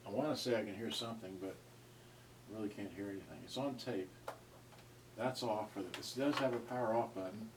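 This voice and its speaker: Low-voiced man rambling, far from the mic. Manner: halting, rambling, often trailing off. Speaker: amateur gadget reviewer. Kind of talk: casual unscripted unboxing and review. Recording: distant mic with clear noise and clicks.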